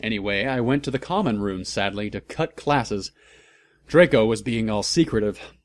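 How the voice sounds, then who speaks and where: A man reading a story aloud for comedy, deadpan and mocking, with sarcastic asides. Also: fairly low pitch, normal speed. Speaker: host of a fan fiction reading channel.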